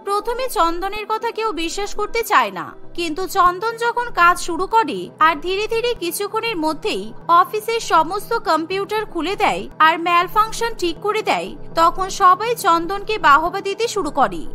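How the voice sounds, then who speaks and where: A woman's voice: very high voice, animated, normal speed, sharp tone, faint music behind. A woman narrating a scripted cartoon story as its storyteller.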